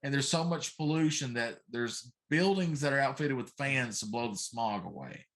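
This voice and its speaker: Middle-aged male co-host, mid-pitched, emphatic and opinionated in casual film talk. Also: expressive, moderate pace, a bit bright. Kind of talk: casual chat about films.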